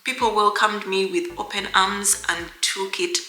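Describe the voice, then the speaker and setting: Bright-voiced woman vlogger. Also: medium pitch, fairly even intonation, a little slow, echoey room, soft background music. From Uganda. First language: Luganda. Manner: chatty, addresses viewers directly, reads an email aloud. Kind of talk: casual vlog chat to camera.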